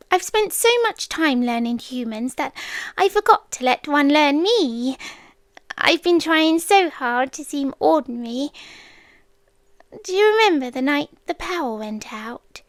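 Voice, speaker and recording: A British woman voicing an ancient, lonely, devoted creature in a soft, whispery, hesitant ASMR roleplay, close to the mic. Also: high voice, no room sound. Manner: soft, slow, hesitant, gentle. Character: ancient lonely female creature, tender, devoted, shyly protective. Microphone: close microphone, dry.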